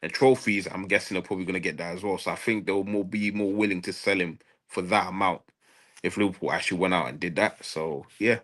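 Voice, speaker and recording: Deep-voiced man debating football. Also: fairly even intonation, fast, a bit bright. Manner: emphatic, repeats phrases for stress. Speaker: football fan show panelist. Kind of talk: casual football transfer debate.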